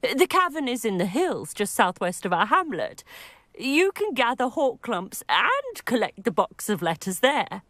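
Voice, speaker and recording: A kindly village potion-maker, gentle and wistful, speaks in a high, very animated voice. It is close, dry video game dialogue. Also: female voice, unhurried, no echo. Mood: earnest.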